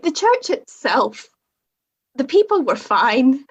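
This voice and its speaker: Young woman, Northern Irish accent, husky, frank and self-mocking, casual guest. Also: high-pitched, sing-song, takes it slowly, lo-fi sound. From Northern Ireland. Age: young adult. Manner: frank, self-mocking, laughs at own story. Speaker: podcast guest. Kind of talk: casual conversation.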